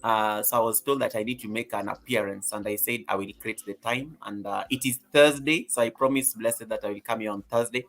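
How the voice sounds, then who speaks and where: A man's voice: lowish voice, fairly quick, hardly any pauses, fairly bright tone. A middle-aged man with a Kenyan accent, introducing himself casually on a livestream with chatty, playful asides. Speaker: guest on a livestream panel, content creator.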